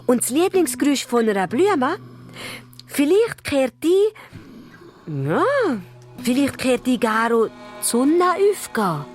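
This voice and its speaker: A woman playing a small, lively male dwarf who muses aloud and asks questions, with a Swiss German accent, in a children's radio play. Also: high-pitched, slow, faint music behind, exaggerated voice. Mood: wondering, dreamy. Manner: musing aloud, asking questions.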